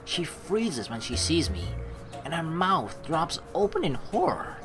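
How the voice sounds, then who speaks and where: A young woman reading a visual novel aloud with joking, swearing asides, animated and quick to laugh. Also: low voice, over music. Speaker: let's play streamer reading a visual novel.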